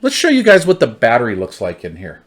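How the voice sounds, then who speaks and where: A man's voice: mid-range voice, huge pitch swings, fast. A man reviewing gear hands-on in a casual, informal, folksy way, often repeating his phrases.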